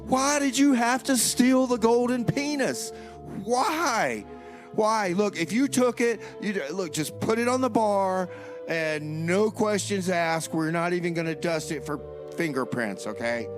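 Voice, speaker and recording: A man's voice: high voice, animated, unhurried. A man playing an aggrieved, melodramatic official making a pompous public plea in radio comedy. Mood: pleading. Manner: pompous, oratorical, pleading. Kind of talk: comedy radio theater speech. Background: music.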